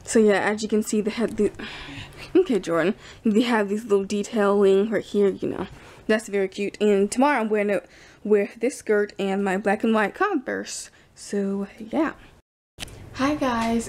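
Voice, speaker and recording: A young American woman talks casually to her camera at arm's length in a room at home. She rambles and restarts in an informal, halting way, with a medium-pitched voice. There is some noise in the handheld recording. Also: darkish tone. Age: teens to young adult. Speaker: vlogger.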